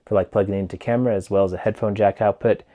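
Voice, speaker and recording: A man casually reviewing a wireless mic in a relaxed, rambling way, with lots of "um" and "like", speaking into a clip-on mic plugged into his phone. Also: rapid-fire, muffled recording. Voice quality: low voice. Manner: rambling, filler-heavy, relaxed. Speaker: tech reviewer.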